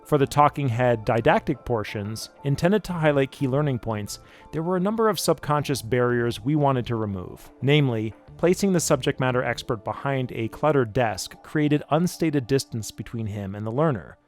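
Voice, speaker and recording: A man delivers scripted explainer narration as the narrator for a video production. His voice sits at a middling pitch, with music playing softly underneath. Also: animated, normal speed. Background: background music.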